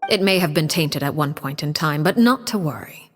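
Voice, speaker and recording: An actress playing a poised, cold female diplomat and matriarch in a video game, speaking calmly and precisely. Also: deep voice, normal speed, in a large hall, slight background noise. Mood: measured. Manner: calm, precise, quietly commanding.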